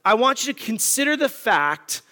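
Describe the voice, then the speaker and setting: A man's voice: very high voice, sing-song, moderate pace, sharp tone. A man in his thirties preaching a sermon on marriage in a conversational, joking way, asking the congregation questions. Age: 30s. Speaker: pastor.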